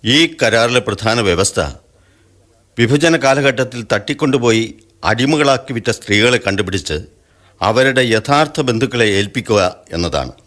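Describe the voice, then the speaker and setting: A man playing a government official in a radio drama, formal and measured, dropping English apologies. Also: medium pitch, expressive, a bit bright, natural voice. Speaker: official from the high commission.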